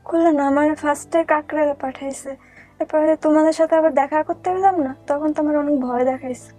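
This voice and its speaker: High-voiced Bangladeshi woman telling her story. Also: steady tone, fairly quick, dark tone, faint music behind. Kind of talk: personal storytelling.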